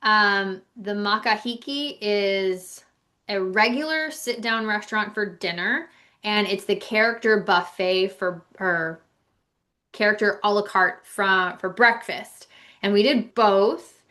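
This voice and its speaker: Bright-voiced woman chatting casually on a podcast. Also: mid-range voice, slow. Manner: chatty, informal, opinionated reviewing. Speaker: podcast co-host. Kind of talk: casual podcast chat about travel.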